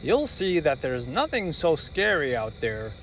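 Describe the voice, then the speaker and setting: A man's voice: fairly high pitch, big pitch swings, a little slow, fairly bright tone, a little hiss or hum, heavily acted. A man voicing a boastful but secretly cowardly father bear in a storybook, with theatrical bluster. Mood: boastful, reassuring.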